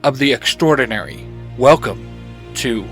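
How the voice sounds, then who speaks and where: A man's voice: medium pitch, animated, unhurried, a bit bright. Man hosting a show over music. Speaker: host and podcaster. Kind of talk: scripted show intros and promos. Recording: music behind the voice. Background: background music.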